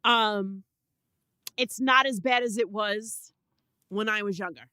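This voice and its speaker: A woman's voice: medium pitch, takes it slowly, bright, forceful tone, clear, resonant tone, dry recording, studio quality. A woman from Brooklyn with a Brooklyn accent, chatting loosely on an internet radio show, restarting her sentences often. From Brooklyn, New York. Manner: chatty, with frequent restarts and fillers. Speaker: co-host.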